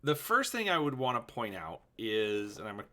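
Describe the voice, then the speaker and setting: Male host, 40s, animated and bright, chatty show-and-tell delivery. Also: mid-range voice, moderate pace. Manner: chatty, with fillers and restarts. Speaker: host of a gaming channel. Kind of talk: casual show-and-tell talk.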